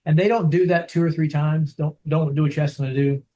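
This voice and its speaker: A man who trains dogs, talking casually over a video call, soft-spoken and rambling, often checking in with "right?". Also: fairly high pitch, fairly even intonation, fairly quick. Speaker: dog trainer.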